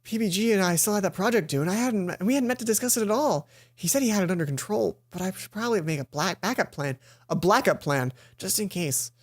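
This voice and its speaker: A woman's voice: medium pitch, animated, quick pace. A woman hosting a let's play, reading a visual novel aloud and adding her own side comments. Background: game music.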